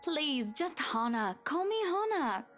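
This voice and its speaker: Casting: high-pitched, moneyed married lady, syrupy and condescending, visual novel game. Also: very animated, a bit bright, faint music behind, full character voice. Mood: sugary. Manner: saccharine, patronizing, drawn-out. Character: wealthy, condescending married woman, sugary and pushy.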